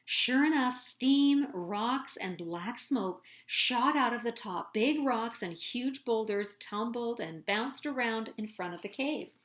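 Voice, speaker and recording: A woman reading a children's picture book aloud as a storyteller, with dramatic, deliberate emphasis, recorded in an echoey room. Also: fairly high pitch, animated, a bit bright.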